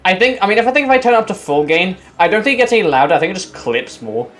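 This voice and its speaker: A man with a loud, booming voice doing casual speedrun commentary, rambling with lots of fillers, his mic at forearm's length. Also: sing-song, very fast, soft background music. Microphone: desk mic at forearm's length.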